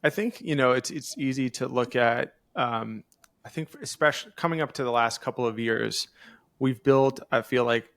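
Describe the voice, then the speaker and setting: A man's voice: mid-range voice, normal speed, a bit bright, dry recording, clean studio sound. A man hosting an interview, talking casually in an informal, rambling way. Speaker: podcast interviewer, host. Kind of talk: casual conversational commentary.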